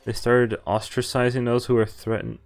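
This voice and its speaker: A man's voice: medium pitch, little pitch movement, moderate pace, vocal fry, faint music behind. A man streaming a visual novel, reading its text aloud and breaking off to swear and react. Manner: reads narration evenly, breaks off to swear and react.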